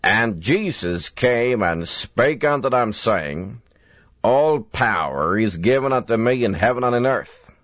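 Older preacher, slow and earnest, kinda muffled dry old tape sound. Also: male voice, mid-range voice, expressive, a bit bright, no echo. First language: Swedish. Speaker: evangelist preacher. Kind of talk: recorded sermon. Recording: dull lo-fi dry recording.

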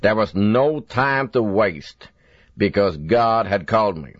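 Older preacher, slow and earnest, kinda muffled dry old tape sound. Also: male voice, on the low side, animated, no echo. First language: Swedish. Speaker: evangelist preacher. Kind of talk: recorded sermon. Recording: dull lo-fi dry recording.

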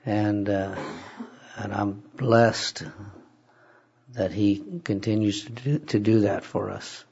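Hoarse, slow-talking man at church. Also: lowish voice, level tone, a little mellow. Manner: halting, many fillers and pauses. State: hoarse, raspy voice. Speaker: church service leader. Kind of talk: casual talk from the pulpit. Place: church service. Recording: dull lo-fi church recording.

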